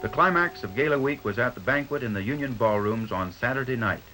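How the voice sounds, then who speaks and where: An American man narrating a 1950s newsreel from a script, in a formal, measured announcer's delivery. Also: mid-range voice, lively intonation, sharp tone, poor audio. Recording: 1950s newsreel soundtrack with faint noise.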